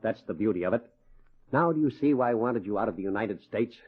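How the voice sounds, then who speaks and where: Domineering rich rancher father, low husky voice, blunt, impatient, old radio drama. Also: lively intonation, fast. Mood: proud, hard. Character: stern, domineering, money-minded wealthy rancher father. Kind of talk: 1940s radio acting. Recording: muffled, husky old-time radio recording.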